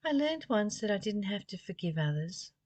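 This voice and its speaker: A woman's voice: mid-range voice, sing-song, normal speed, a little mellow, dry recording. A woman reading spiritual text aloud and musing on it informally, in a reflective way with rhetorical questions. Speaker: spiritual study reader and commentator.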